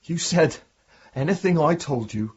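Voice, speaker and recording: An actor playing a young condemned prisoner in a radio drama, deferential and wounded, reluctant to confide. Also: male voice, fairly high pitch, lively intonation, measured pace, darkish tone, breathy edge, highs cut off, heavily acted. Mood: pleading, guarded. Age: young adult. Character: haunted young condemned prisoner protesting his innocence.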